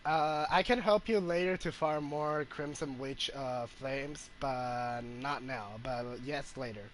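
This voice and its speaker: A man giving casual gameplay commentary as a streamer, with halting, hesitant phrasing. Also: medium pitch, a little hiss or hum. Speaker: game streamer.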